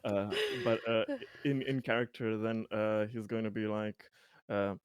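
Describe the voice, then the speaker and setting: A man's voice: lowish voice, steady tone, normal speed, smooth, no room sound. A man chatting casually as a player in a tabletop roleplaying game, stammering, self-deprecating and readily amused.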